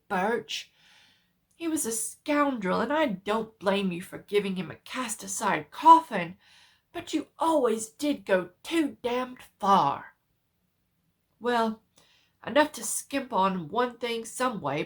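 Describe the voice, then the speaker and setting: A woman's voice: fairly high pitch, a bit bright, voice pushed up, exaggerated voice. Woman acting an agitated, horrified male country doctor. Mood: horrified, accusing. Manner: agitated, emphatic, exclaiming. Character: horrified male country doctor, urgently berating his patient. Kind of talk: dramatic horror story reading.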